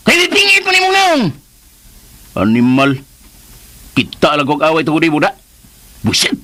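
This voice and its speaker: A man's voice: on the high side, huge pitch swings, normal speed, bright, forceful tone, full character voice. An actor playing a hot-tempered, scheming man in a radio drama, muttering to himself and cursing. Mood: angry. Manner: muttering to himself, bursting into curses.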